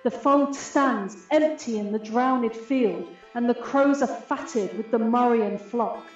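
A proud, aggrieved fairy queen acted by a woman declaims Shakespearean radio verse in a stately, unhurried way. Her voice sits fairly high and rings with very heavy echo, slightly muffled, with music underneath. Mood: indignant. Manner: stately, measured, declamatory delivery.

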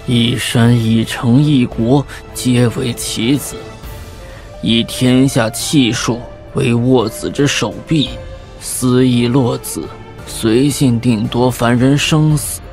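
An actor playing a calm, aloof Taoist master in an audio drama, measured, lofty and quietly defiant. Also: male voice, medium pitch, soft background music. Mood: solemn, resolute.

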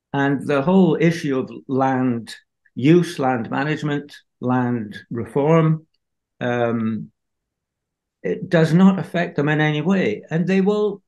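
An older man from Scotland, a film composer talking slowly and hesitantly on a video call from home. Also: a little high, dull audio. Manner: slow, hesitant, many fillers. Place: home room.

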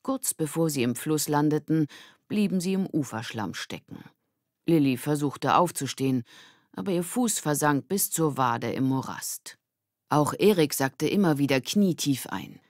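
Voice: mid-range voice, animated, measured pace, no echo. Storybook narrator with a dry, close sound. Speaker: audiobook narrator. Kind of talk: scripted children's storybook narration.

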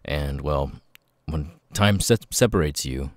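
A man playing a nervous, grateful young outcast with vocal tics in an ASMR roleplay, speaking haltingly and repeating words. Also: no echo, clean studio sound. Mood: hesitant, weary. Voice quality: very deep voice with stuttering, sudden high-pitched tic outbursts.

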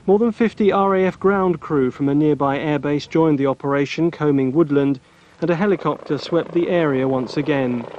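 A man's voice: on the high side, warm tone. A man narrating a scripted television news report. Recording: dull VHS sound with background noise.

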